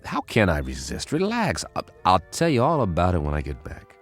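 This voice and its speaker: An actor playing a breezy, confident, eager man in a radio drama, with an excitable, halting way of talking. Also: low voice, faint music behind, full character voice. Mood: upbeat. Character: easygoing, eager male government codebreaker, breezy and confident.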